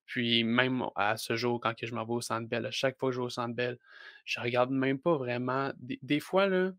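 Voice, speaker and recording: Young Québécois man, flat halting speech. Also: medium pitch, dry recording, studio quality. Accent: Québécois French. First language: French. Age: young adult. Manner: hesitant, many restarts and fillers. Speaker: wrestler guest. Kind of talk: casual podcast interview.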